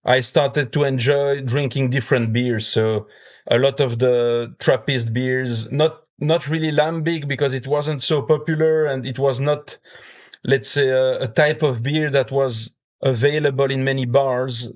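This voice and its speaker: A man's voice: mid-range voice, fairly even intonation, unhurried, dull audio. A man in his forties from Belgium with a French accent, talking casually as a podcast guest, with many fillers and restarts. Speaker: guest, brewer and beer judge.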